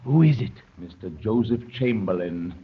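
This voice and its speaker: A man's voice: fairly low pitch, expressive, moderate pace, background noise, close to an everyday voice. A man playing a proud, intense anarchist poet, speaking with formal, declamatory self-importance in the manner of 1930s radio drama. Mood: smug, suave. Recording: muffled, worn 1930s radio recording.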